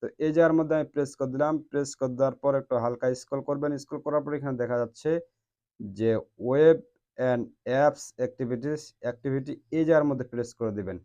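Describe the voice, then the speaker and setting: A man's voice: mid-range voice, fairly quick, dark tone, lo-fi sound. A man hosting a step-by-step phone tutorial in a repetitive instructional way. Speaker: tech tutorial host.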